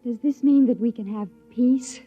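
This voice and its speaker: A woman's voice: on the high side, moderate pace, warm tone, breathy edge, soft background music. A woman playing an anxious, devoted young daughter, emotional and urgent, in a 1950s radio drama style. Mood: hopeful. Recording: dull old radio recording.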